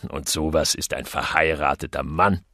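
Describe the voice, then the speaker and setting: A man's voice: very deep voice, fairly even intonation, normal speed, sharp tone, dry recording, studio quality, voice pushed down. A man voicing a breezy male office joker in an audiobook, with mocking banter. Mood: mocking, suspicious.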